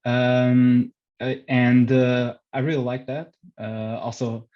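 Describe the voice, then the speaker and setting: A man's voice: medium pitch, little pitch movement, takes it slowly, muffled recording. A young man with a Portuguese accent, a podcast guest answering interview questions with many hesitations and restarts. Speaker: podcast guest, frontend developer.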